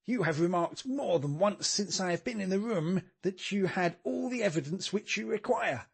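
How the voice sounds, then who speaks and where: Polite, pleading police detective, played by a man, high-pitched and husky, for a storybook mystery. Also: animated, moderate pace, heavily acted. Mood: earnest, pleading. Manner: polite, earnest complaint. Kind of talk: dramatic audiobook character reading.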